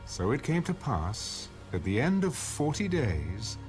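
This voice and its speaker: A man narrating scripture from a script as an audio Bible, solemn, formal and measured. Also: mid-range voice, sing-song. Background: music under the narration.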